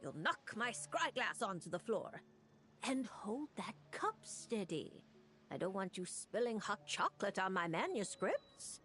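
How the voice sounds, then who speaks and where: A woman's voice: medium pitch, very animated, measured pace, fairly bright tone, a little hiss or hum. A woman playing a brusque, scholarly, easily exasperated diviner in a video game, dry and fussy. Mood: scolding. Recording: music behind the voice.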